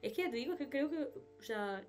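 A woman's voice: fairly high pitch, animated, quick pace, a little mellow, soft background music. A young woman from with a cold, chatting and laughing often on a video call. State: has a cold. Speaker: podcast co-host. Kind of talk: casual chat. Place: home room, indoors. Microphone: webcam on a video call.

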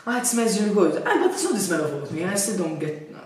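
A woman's voice: mid-range voice, quick pace, slight background noise. Giggly young woman in an echoey kitchen. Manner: excitable, laughing, says "it's true" often. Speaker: beauty vlogger. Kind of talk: casual product-testing chat. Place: home kitchen, indoors. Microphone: far camera. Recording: echoey room, from a distance.

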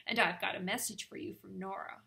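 A woman's voice: mid-range voice, fairly even intonation, a little fast, gravelly, bright, forceful tone. A woman voicing a bossy, sarcastic male raven in a storybook.

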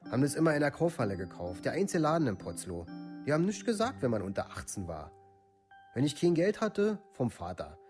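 A male actor plays a rough young man from a village, recounting events bluntly in a medium-pitched voice. It is radio drama testimony, plain and unemotional, with faint music behind it. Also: very animated, a little fast, close to an everyday voice. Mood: casual, reminiscing. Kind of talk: documentary radio play monologue, testimony.

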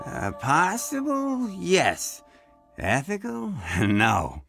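An actor playing a sly, sleazy accomplice in an animated drama. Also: male voice, sits high, extremely slow, creaky, music in the background, full character voice. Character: sly, sleazy male computer-savvy accomplice.

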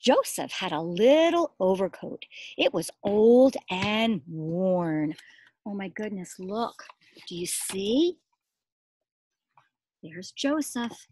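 A middle-aged woman, a preschool teacher, reads a story aloud expressively, pausing to explain simply and ask small children questions. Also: medium pitch, a little hiss or hum. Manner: expressive, addressing small children with simple words and emphatic repetition. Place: outdoors in a garden.

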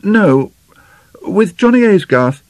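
This is a proud, sharp-tempered young English woman from a storybook, performed by a male narrator. Her lines are curt and emphatic, lifted in pitch and widely inflected. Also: wildly swooping pitch, moderate pace, no echo, rough recording. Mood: casual. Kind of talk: audiobook character dialogue.

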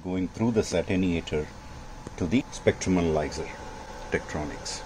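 Low-voiced older man, noisy recording. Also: moderate pace. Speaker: amateur radio hobbyist presenting. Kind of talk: informal technical demonstration. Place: indoor home radio workshop. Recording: clearly noisy home workshop recording.

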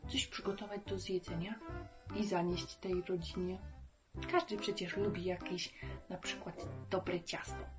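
A woman's voice: lowish voice, little pitch movement, unhurried, music in the background. A woman in her thirties presenting for children, chatting simply in a puppet dialogue. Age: 30s. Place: small book-lined room indoors.

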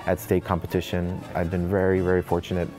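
A middle-aged man, speaking in an interview as a high school music director. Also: completely flat delivery, quick pace. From Edinburg, south Texas. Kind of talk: interview and rehearsal coaching.

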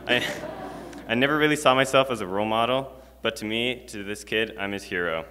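A man's voice: mid-range voice, a little fast, sharp tone, clean tone. A teenage boy giving a prepared chapel talk at a stand mic, earnest and reading from notes with casual fillers. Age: teens. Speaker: student speaker. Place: school chapel, large indoor space. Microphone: stand mic at a lectern. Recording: long hall reverb.

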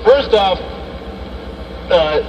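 A man's voice: sits high, lively intonation, drawn-out words, a little mellow, slight background noise. A man with folksy, slangy patter, bantering casually over a CB radio transmission. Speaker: CB radio operator. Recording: dull CB radio, distant speaker.

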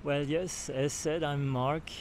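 An older man with a French accent talks slowly and with many pauses, his voice sitting fairly high for a man. He keeps restarting sentences as he searches for the words. Faint outdoor ambience sits behind his close handheld mic. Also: steady tone, a little hiss or hum. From Switzerland. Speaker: retreat participant giving a testimonial. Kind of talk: spoken testimonial. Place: outdoors at a sandy beach camp. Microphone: handheld recorder held near the mouth.

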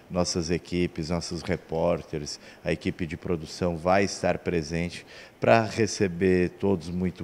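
A man's voice: deep voice, a little mellow. A Brazilian man in his forties, the show's chief, speaking steadily into a handheld mic in an indoor TV newsroom. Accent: Brazilian Portuguese. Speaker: TV show chief. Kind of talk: studio TV news segment.

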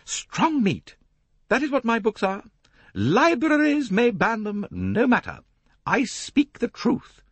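A man voicing a pompous, gushing older woman novelist in a high, complaining voice. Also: wildly swooping pitch, takes it slowly, fairly bright tone, slightly rough, no echo, exaggerated voice. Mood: grandiose. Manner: theatrical, self-important, emphatic. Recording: dry, close audiobook recording.

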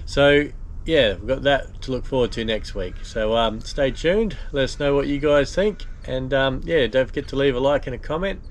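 A man, hosting a boat restoration vlog in a relaxed, chatty way full of ums and uhs. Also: mid-range voice, normal speed. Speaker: boat restorer and channel host. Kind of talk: casual vlog narration. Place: inside a steel boat hull, then an outdoor patio.

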